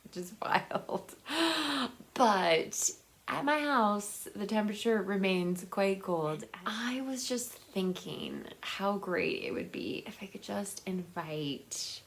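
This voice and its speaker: A woman's voice: mid-range voice, very animated, slow pace, gravelly. A woman chatting casually and enthusiastically to viewers in a rambling, conversational book vlog. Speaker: book vlogger.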